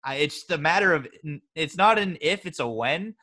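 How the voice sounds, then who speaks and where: Male co-host, bright forceful tone, slightly higher voice, loose rambling chat. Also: lively intonation, normal speed. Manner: chatty, rambling, many fillers and restarts. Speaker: podcast co-host. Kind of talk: casual sports podcast chat.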